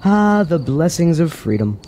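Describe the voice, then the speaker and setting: Mocking young tippler, dark mellow timbre, wry, teasing, fantasy radio drama, faint night-insect noise. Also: male voice, a little high, big pitch swings, measured pace. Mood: merry, sly. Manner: sardonic, teasing. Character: wry, wine-loving young village lad, mocking idler.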